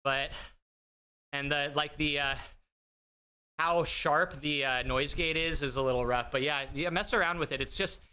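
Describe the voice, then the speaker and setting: A man talks to his live viewers from a home streaming desk, rambling, self-deprecating and loose with fillers. His voice is bright, higher than most men's and very clean, picked up close on a desk mic. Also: unhurried, clean tone. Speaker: livestream host. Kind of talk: casual chat with live viewers. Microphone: desk streaming mic through an audio mixer.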